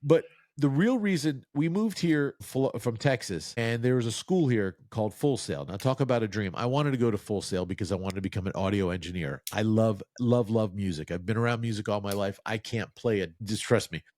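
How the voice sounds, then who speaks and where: A man's voice: fairly low pitch, brisk, hardly any pauses, darkish tone, dry recording, clean studio sound. A middle-aged man from New Jersey, a podcast co-host telling long, self-mocking stories in casual chat and quoting people in put-on voices.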